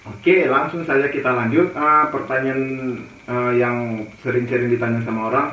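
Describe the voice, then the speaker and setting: A man from Papua hosts a casual interview in an eastern Indonesian accent. His voice sits at a medium pitch. He is recorded away from the microphone in an echoey room. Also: steady tone, normal speed. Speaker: host. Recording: muffled, roomy, distant mic, noisy.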